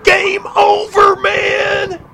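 Man acting a panicky, creaky-voiced script writer. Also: very high voice, completely flat delivery, very slow, over music, exaggerated voice. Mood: frantic. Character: excitable male script writer, panicky drama queen. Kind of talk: comedy audio drama acting.

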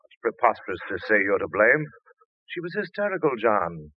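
A steady, low-voiced doctor. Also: male voice, brisk, husky. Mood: reassuring. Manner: steadying, reassuring. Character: calm family doctor and friend. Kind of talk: 1940s radio acting. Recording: tinny old radio recording.

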